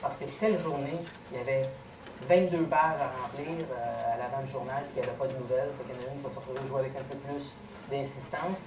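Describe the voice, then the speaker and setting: A man with a Québécois accent, answering audience questions in a measured, explanatory way as a guest speaker at a lecture. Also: mid-range voice, steady tone. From Quebec. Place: college lecture room. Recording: echoey, noisy college room, distant mic.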